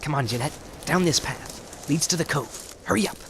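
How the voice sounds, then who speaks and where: An actor playing a jumpy, blustering, slangy tough crook in an old-time radio drama. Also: male voice, a little high, moderate pace. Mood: impatient. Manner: slangy, blustering, stammering when rattled. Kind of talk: 1940s radio drama acting. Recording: clear background noise.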